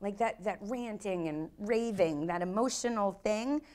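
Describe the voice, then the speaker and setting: A woman's voice: medium pitch, unhurried, a little mellow. A middle-aged American woman speaking casually and bluntly as an interview guest on stage, swearing freely and acting out quotes. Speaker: improv teacher and performer, interview guest. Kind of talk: casual, animated interview talk. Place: on stage in a small dark theater.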